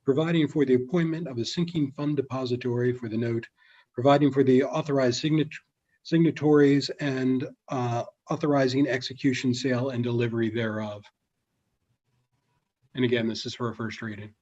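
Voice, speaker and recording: A man formally reading a city ordinance aloud in a steady recitation. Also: mid-range voice, brisk. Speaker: city official reading legislation. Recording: muffled remote audio.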